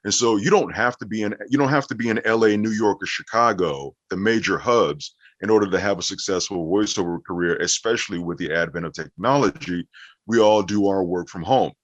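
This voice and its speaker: Low American man, dull dry recording. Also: a little fast, no room sound. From Las Vegas, United States. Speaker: voice actor, interview guest. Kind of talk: casual conversational interview.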